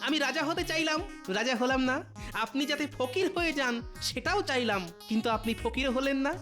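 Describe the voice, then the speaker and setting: A man's voice: extremely high pitch, normal speed, sharp tone. A man voicing a clever, witty court jester in a Bengali comedy cartoon, theatrical and humbly pleading as he explains himself. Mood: clever, explaining. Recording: music behind the voice.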